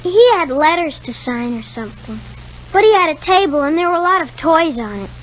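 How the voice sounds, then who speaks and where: A woman's voice: high voice, sing-song, fairly quick. A woman playing a shy, polite young boy with hesitant answers in a 1950s radio soap. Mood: guarded, innocent. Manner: reticent, short hesitant answers. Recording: dull 1950s radio recording.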